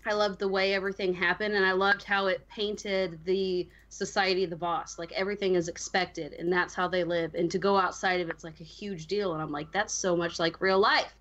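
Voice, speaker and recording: A woman's voice: on the low side, steady tone, fairly quick, few pauses, a bit bright, muffled recording, slight background noise. A woman co-hosting a gaming podcast, chatting casually and with strong opinions, often voicing her own reactions as quotes. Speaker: podcast co-host discussing a video game. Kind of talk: casual chat.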